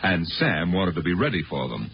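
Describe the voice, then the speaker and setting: A man's voice: deep voice, very animated, a little fast, gravelly, slight background noise. A man narrating a scripted 1950s radio drama in a dramatic, literary storytelling manner. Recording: muffled old radio broadcast, loud music.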